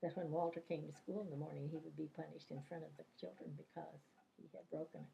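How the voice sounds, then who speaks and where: A woman's voice: low voice, fairly even intonation, fast, vocal fry, warm tone, a little hiss or hum, poor audio. An older woman, a retired teacher, reminiscing about her life in a casual interview, telling rambling stories full of fillers and restarts. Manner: rambling anecdotal storyteller, many fillers and restarts.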